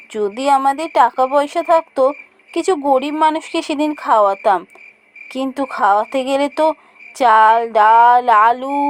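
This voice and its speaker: A woman plays a practical village mother in a fairy-tale cartoon. Her voice is high and swings up and down with every phrase. She sounds fretful, expressive and exclamatory. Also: slight background noise. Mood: wistful.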